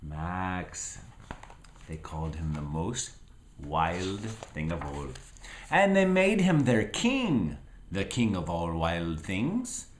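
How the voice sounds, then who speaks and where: A man reads a children's picture book to his little one in a theatrical, playful way. His pitch swings widely as he draws words out at a very slow pace, pausing for asides. The room is echoey around him. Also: big pitch swings, slight background noise. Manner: theatrical and playful, with drawn-out words, gasps and sound effects. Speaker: father reading a picture book aloud to his small child. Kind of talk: animated storybook reading with asides to a child.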